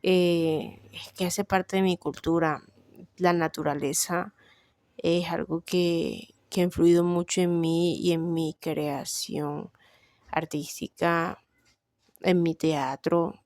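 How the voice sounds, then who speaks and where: A woman's voice: lowish voice, little pitch movement, takes it slowly, darkish tone, dry recording, studio quality. A young woman with a Colombian Caribbean coast accent, chatting casually about herself and thinking aloud with frequent fillers. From Barranquilla, Colombia. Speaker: vlogger. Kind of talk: casual personal chat.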